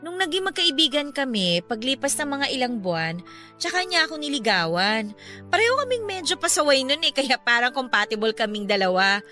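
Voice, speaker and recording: A woman's voice: sits high, sing-song, brisk, bright, forceful tone, soft background music, exaggerated voice. An actress playing a breezy, frank young woman talking about her past in a radio drama. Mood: casual, candid.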